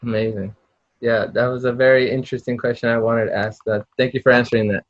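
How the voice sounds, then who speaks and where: Young male student interviewer. Conversational questions, mid-pitched voice, dry dull recording. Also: steady tone, fast talker, no room sound.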